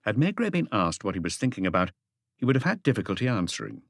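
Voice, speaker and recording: A man's voice: on the low side, very animated, fast, no room sound, studio quality. A man reading a mystery novel aloud as an audiobook narrator, in a scripted literary style.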